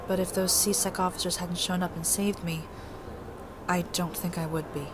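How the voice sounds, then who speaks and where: A woman's voice: lowish voice, steady tone, moderate pace, noisy background, natural voice. An actress playing a shaken, polite refugee woman with a hesitant manner in an audio drama. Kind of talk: naturalistic audio drama acting.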